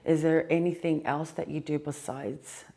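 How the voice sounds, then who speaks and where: A woman's voice: low-pitched, fairly even intonation, normal speed, creaky, mellow. A woman interviewing a guest, asking brief, plain questions.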